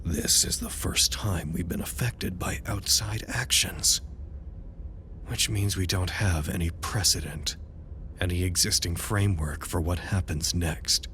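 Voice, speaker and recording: An actor playing a dutiful researcher at an underground anomaly site in an audio drama, speaking in a formal, wordy way with dry wit. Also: male voice, low voice, measured pace, pushed and bright, slight background noise, heavily acted. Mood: grave, analytical. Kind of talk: audio drama, recorded log monologue.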